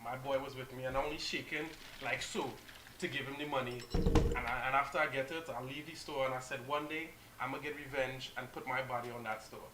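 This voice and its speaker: A man, a model guest on a talk show, telling animated anecdotes and acting out what people said. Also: medium pitch, a little fast, echoey room, noisy background. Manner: animated anecdotes, acts out quotes, restarts. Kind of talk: casual storytelling.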